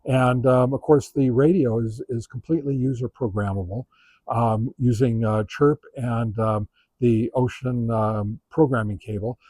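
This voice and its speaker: A man giving a casual product review as a monologue, halting and often pausing mid-sentence. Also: medium pitch, steady tone, dark tone, dry recording. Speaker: radio gear reviewer.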